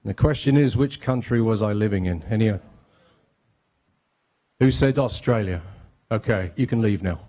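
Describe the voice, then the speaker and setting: A man's voice: on the low side, normal speed, long pauses, mellow. A man in his fifties from England speaking to a live audience, joking and bantering the crowd. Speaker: guest speaker. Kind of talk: public lecture. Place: museum cafe with audience.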